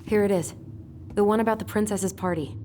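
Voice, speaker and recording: Mellow, earnest young woman voiced by an actress. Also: medium pitch, fairly even intonation, brisk, slight background noise, lightly acted. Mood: eager, coaxing. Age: young adult. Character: eager, bookish young woman who loves puzzles. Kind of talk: naturalistic video game acting.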